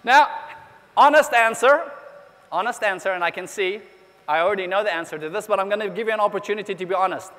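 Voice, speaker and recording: A man with a high voice delivers a motivational keynote to a convention crowd. He keeps the audience involved with questions and calls for applause. His voice rings out with a long hall reverb. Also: fast, pushed and bright. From New Zealand. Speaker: motivational speaker.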